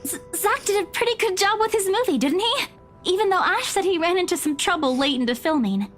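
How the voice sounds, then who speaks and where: Anxious, very high-voiced young woman. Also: animated, a bit bright. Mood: eager, flustered. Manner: halting, stammering, pleading. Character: anxious, caring, chatty young woman, a worried friend. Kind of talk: visual novel voice acting. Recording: music behind the voice.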